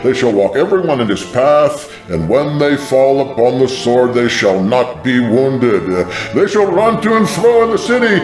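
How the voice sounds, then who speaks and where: A man's voice: mid-range voice, expressive, moderate pace, hardly any pauses, lightly acted. A man voicing a biblical prophet proclaiming doom, declaiming scripture in a grand, ominous manner. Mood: ominous proclamation. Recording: echoing voice over music. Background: music.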